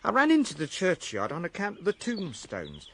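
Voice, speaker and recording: A man's voice: high-pitched, huge pitch swings, normal speed, gravelly, a bit bright, slight background noise, heavily acted. An actor playing an anxious middle-aged everyman with nervy, colloquial speech in a radio drama. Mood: nervy, relieved.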